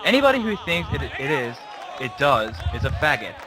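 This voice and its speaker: A man's voice: medium pitch, sing-song, fairly quick, a crowd in the background. A man giving a dramatic reading of an online argument in a dismissive, snide manner. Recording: music playing behind the voice. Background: music.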